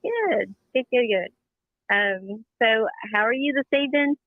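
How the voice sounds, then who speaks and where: A woman's voice: fairly high pitch, unhurried, dry recording. A woman calling into a show, chatty and friendly, repeating her words, over a tinny phone line. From Kingsport, US East. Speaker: caller.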